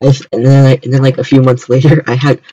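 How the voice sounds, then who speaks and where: A man's voice: mid-range voice, level tone, mellow. Young american guy chatting on stream, keeps restarting sentences, kinda dull lo-fi mic. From United States. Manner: halting, repetitive starts. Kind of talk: casual chat on a livestream.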